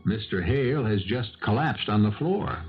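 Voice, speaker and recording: A man narrating as the host of an old radio mystery series, theatrical, wry and portentous, weighing each phrase deliberately. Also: on the low side, expressive. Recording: muffled old radio broadcast. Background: music.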